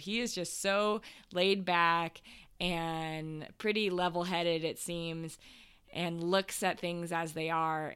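A woman's voice: on the low side, a bit bright, clean tone. A woman hosting a podcast, talking in a casual, conversational way as she introduces and interviews a guest.

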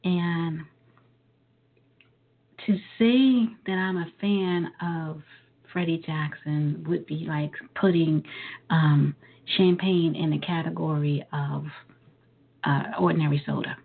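A woman hosting a live talk radio show, a little hoarse, chatting easily with frequent ums and uhs. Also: low voice, fairly even intonation, slow pace. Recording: phone-line quality.

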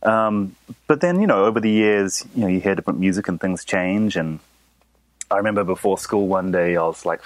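A man from New Zealand, a musician guest, talking in a relaxed, easygoing manner. His voice is very deep. The sound is dry and close. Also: a little fast, no echo, poor audio. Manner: easygoing, laughs often. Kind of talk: casual interview.

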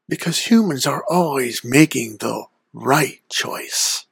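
Actor as sly Lord of Darkness for comedy podcast. Unhurried, husky, pompous, very dry close sound. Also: medium pitch, lively intonation, no echo, exaggerated voice. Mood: sinister, philosophical. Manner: pompous, deliberate, theatrical. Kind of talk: mock interview in character, audio comedy.

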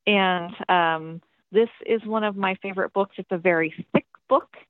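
A woman's voice: mid-range voice, moderate pace, dry recording. A chatty woman from Tennessee talks loosely through interview answers, full of ums and asides. Her voice comes over a tinny, phone-like line, dry with no room sound. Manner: chatty, rambling, many ums, occasional swearing. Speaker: metal band singer, interview guest.

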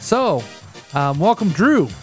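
A man hosts a loose, comedic radio chat show, wandering off track and stopping to correct himself. His voice sits a bit high for a man, and faint music hums underneath. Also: very animated, takes it slowly. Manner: rambling, self-correcting. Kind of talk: casual comedic radio banter.

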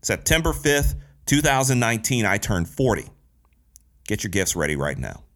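A man's voice: on the low side, very animated, moderate pace, fairly bright tone. A man in his thirties from Detroit, hosting a solo podcast in a casual, candid way, with slang and cursing. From Detroit, USA. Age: 30s. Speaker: podcast host.

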